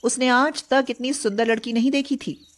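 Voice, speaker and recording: A woman's voice: sits high, steady tone, moderate pace. A woman narrator reading a scripted moral story.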